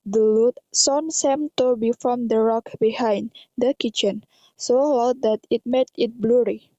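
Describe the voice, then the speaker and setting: High, mellow Indonesian woman narrator, dull recording. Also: fairly even intonation, a little slow, no room sound. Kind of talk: scripted narration of amateur radio drama.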